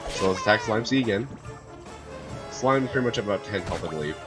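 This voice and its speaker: A man with a hoarse voice from a cold, casually commenting on a video game and rambling with lots of fillers. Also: fairly low pitch, lively intonation, quick pace. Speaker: gameplay streamer. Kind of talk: casual let's-play commentary. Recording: gameplay with loud game music.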